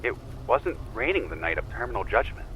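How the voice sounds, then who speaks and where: A man playing a calm, insistent guide who leads someone through memories in a slow, soothing, probing way in an audio drama. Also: medium pitch, a little hiss or hum, rough recording. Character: calm, insistent male memory-regression guide named Carlos.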